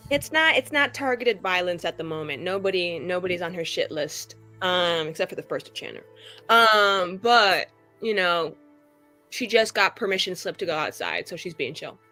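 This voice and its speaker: A woman's voice: on the low side, animated, moderate pace, bright, forceful tone, faint music behind. A woman playing a tabletop roleplaying game, casually improvising narration about her character in a playful, slangy, rambling way.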